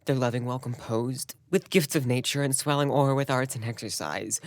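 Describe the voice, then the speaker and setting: Ardent young warrior-lover, female actor, very deep register, earnest Shakespearean verse, dry audio-drama sound. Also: normal speed, no echo. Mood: jealous pleading. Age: young adult. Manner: earnest, declamatory verse delivery.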